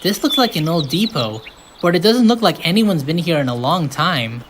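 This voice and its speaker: A woman's voice: deep voice, lively intonation, normal speed, slight background noise. A woman voicing an earnest, easily rattled little engine in a cartoon comic dub. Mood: nervous. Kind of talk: animated comic dub acting. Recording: music behind the voice.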